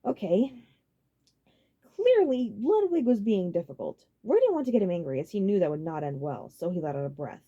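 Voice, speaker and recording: A woman reading a story aloud as an audiobook narrator, in an expressive, dramatic style. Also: mid-range voice, normal speed, highs cut off.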